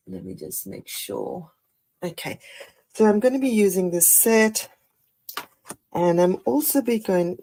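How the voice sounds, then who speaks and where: A woman with a croaky voice after the flu, chatting through a live card-making tutorial with many fillers and apologies. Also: deep voice, mellow, a little hiss or hum. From Australia. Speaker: craft demonstrator.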